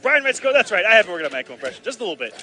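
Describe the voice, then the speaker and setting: A man doing live play-by-play commentary on a fighting game, excitable and bursting loud on big plays, his voice slightly raspy from long casting. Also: high voice, racing, faint music behind. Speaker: esports commentator.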